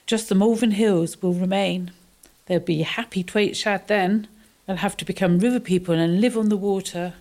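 A woman playing a concerned townswoman in a community radio play, with an earnest, deliberate delivery. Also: mid-range voice, a little mellow, close to an everyday voice. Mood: foreboding. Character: concerned townswoman sewing a river tapestry.

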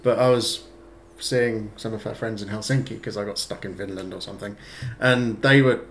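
A man's voice: lowish voice, fairly even intonation, normal speed, a bit bright. A man co-hosting a casual podcast chat, telling stories in a rambling, self-deprecating way with many fillers. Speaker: podcast co-host.

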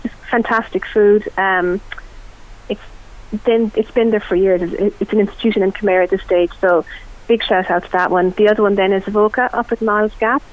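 A woman's voice: medium pitch, fairly even intonation, a little fast. Chatty Irish woman on a phone line. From Ireland. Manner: chatty and enthusiastic. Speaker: food reporter. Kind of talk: radio food report.

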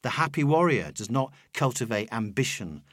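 A man reads a scripted literary essay in a medium-pitched voice. The delivery is measured and reflective, gently instructing the listener and slipping into quoted lines of verse. The sound is dry, with no room around the voice. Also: big pitch swings, no echo. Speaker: author reading their own audiobook.

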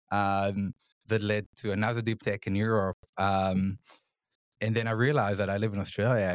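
A middle-aged man answers interview questions in a low, flat, matter-of-fact voice. He pauses often on "uh" and "um". The recording is dry and close. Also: no room sound. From Israel. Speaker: venture fund CEO, guest.

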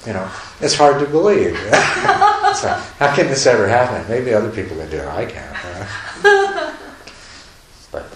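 A man giving a meditation talk to a retreat group, talking loosely with many restarts and soft chuckles. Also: mid-range voice, huge pitch swings, measured pace. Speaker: meditation teacher. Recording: echoey room, faint noise.

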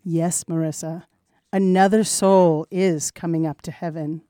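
Soft-toned grandmother spirit acted by a woman, mellow, dry sound, gentle, reassuring, solemn, radio play. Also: on the low side, steady tone, no room sound, lightly acted. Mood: soothing, solemn. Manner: soothing, solemn, measured.

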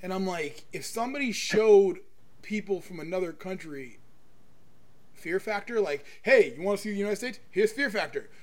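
A male podcast host with a high-pitched voice. He talks in a casual, opinionated way, pushing his points emphatically and swearing freely as he goes. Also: lively intonation, moderate pace.

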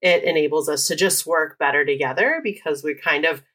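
Woman guest, low register, methodical and example-heavy explainer. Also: a bit bright. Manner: measured, explanatory, uses many examples. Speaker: employee resource group site lead. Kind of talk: podcast interview guest.

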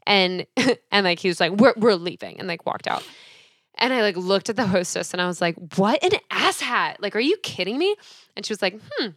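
A young woman co-hosting a podcast, telling animated stories in casual chat and acting out the people she quotes. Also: medium pitch, moderate pace, no echo, clean studio sound. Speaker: podcast co-host.

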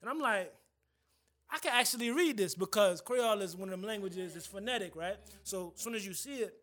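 A young man co-hosting a casual podcast chat, animated and repeating himself as he tells stories. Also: sits high, a little fast. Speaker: podcast co-host.

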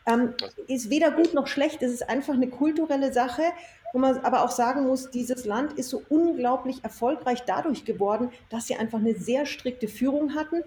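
Female interview guest, soft mellow tone, enthusiastic and emphatic storyteller, roomy sound with faint noise. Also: high-pitched, normal speed. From Germany. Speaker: interview guest, self-employed former marketing executive. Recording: echoey room, faint noise.

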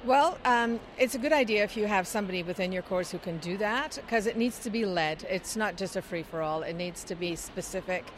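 A woman's voice: medium pitch, brisk, few pauses, a little hiss or hum. A middle-aged woman from Scotland, giving explanatory interview answers with frequent fillers. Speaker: interviewee, chorus singer.

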